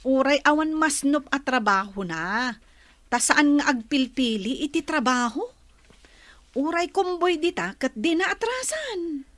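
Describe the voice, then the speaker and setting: A stubborn, carefree wife with a gambling habit in a radio drama. Her voice is high and swings in lively pitch, recorded close and dry. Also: measured pace, dry recording, full character voice. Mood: insistent. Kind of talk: Ilocano radio drama acting.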